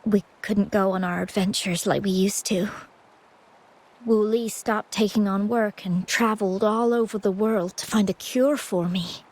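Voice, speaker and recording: An actress voicing a gentle, anxious, ailing young woman in a video game, speaking softly and politely with hesitant stammers. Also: medium pitch, natural voice.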